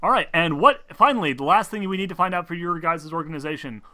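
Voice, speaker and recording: A man acting as game master on a casual role-playing stream, explaining haltingly and playfully scolding the players. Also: fairly high pitch, bright, forceful tone, no echo.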